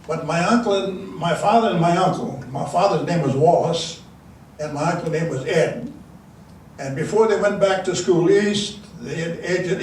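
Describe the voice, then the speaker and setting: An older man from South Dakota giving a folksy storytelling talk into a handheld mic in a large meeting room, halting and restarting often. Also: on the high side, recorded from a distance. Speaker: guest speaker. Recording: echoey room, mic from afar, faint hiss.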